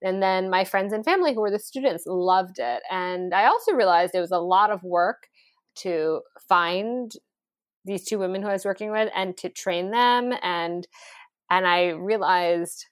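A woman speaking in an animated, conversational way, full of "like" and "um," as she explains how she built her cooking classes. The sound is dry and close, with no room echo at all. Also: mid-range voice, measured pace. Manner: animated, lively storytelling with many fillers. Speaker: founder of a cooking school, guest. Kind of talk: conversational interview.

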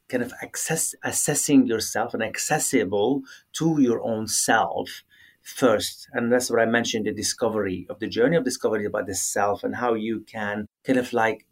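Earnest Lebanese man, halting speech. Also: on the low side. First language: Arabic. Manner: earnest, halting, repeats words as he searches for phrasing. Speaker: yoga teacher, guest. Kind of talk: conversational interview answers.

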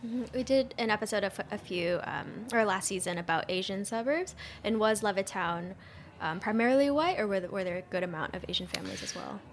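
A woman's voice: medium pitch, lively intonation, a little hiss or hum. A woman hosting a podcast, asking curious questions and giving attentive short replies. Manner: curious, attentive, quick back-channel replies. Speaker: podcast host. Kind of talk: interview questions.

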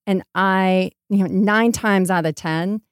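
A woman's voice: medium pitch, fairly even intonation, slow pace, clear, resonant tone, no room sound, clean studio sound. An American woman giving reflective, conversational interview answers, making her points with emphasis. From Maine, United States. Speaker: podcast guest, startup entrepreneur.

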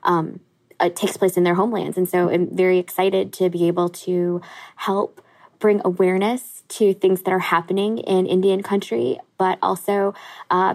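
Laid back lady guest on a podcast, kinda low voice, says um a lot. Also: normal speed, creaky. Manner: relaxed, many fillers like um and uh. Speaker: guest, director of education. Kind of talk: interview conversation.

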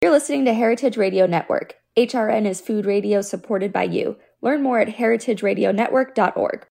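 A woman's voice: medium pitch, a little fast, gravelly, dark tone. A woman co-hosting a food podcast, upbeat and bubbly as she introduces the show. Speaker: podcast co-host.

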